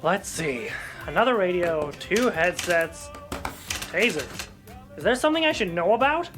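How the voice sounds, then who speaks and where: A woman's voice: on the low side, expressive, normal speed, music in the background, lightly acted. A woman playing a pompous, pedantic male collectibles seller who is grudging and indignant, in a comedic audio drama. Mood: officious.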